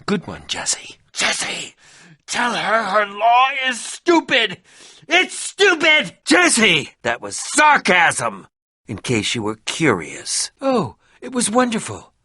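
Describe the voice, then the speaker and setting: An actor voicing an excitable, eccentric, sarcastic adventurer in a video game, with a theatrical delivery that moves between muttering and exclaiming. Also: male voice, high-pitched, slow, slightly rough, no echo, clean studio sound, full character voice. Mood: muttering, wry, then indignant, exasperated. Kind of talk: animated video game voice acting.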